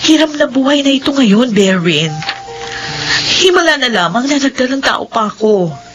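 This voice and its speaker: High-voiced, devout, tender wife. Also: female voice, very animated, moderate pace, over music. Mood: tearful, tender. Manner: earnest, tender, pleading. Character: devout, hopeful pregnant young wife facing illness. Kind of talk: radio drama acting.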